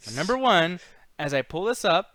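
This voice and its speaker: A man's voice: high-pitched, big pitch swings, normal speed, a crowd in the background. A man co-hosting a casual movie chat show, blunt and opinionated, swearing freely. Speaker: co-host of a video game movie chat show.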